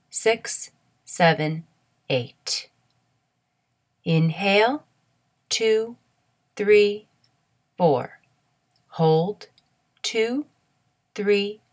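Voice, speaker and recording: A woman leading a guided meditation in a soothing, measured, instructional way. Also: fairly low pitch.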